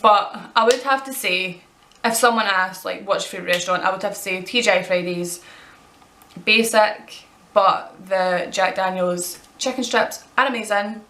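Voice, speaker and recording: A Scottish woman of twenty-two, chatting casually as she answers tag questions and rambles with quick self-corrections. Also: mid-range voice, a bit bright, echoey room. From Scotland. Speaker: vlogger.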